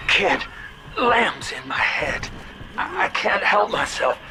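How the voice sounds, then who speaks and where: Wry schemer amid noisy ambience. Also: male voice, high-pitched, sing-song, takes it slowly, heavily acted. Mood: anguished, strained. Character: Augustus Sinclair, slick older businessman, wry, world-weary schemer. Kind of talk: video game voice acting.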